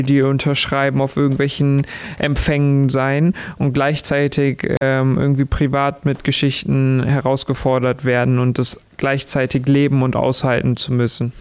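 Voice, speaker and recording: Smooth-voiced man speaking in a flat tone. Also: medium pitch, no room sound. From Ecuador. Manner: reflective, searching for words, many restarts. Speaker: musician guest. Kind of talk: radio interview.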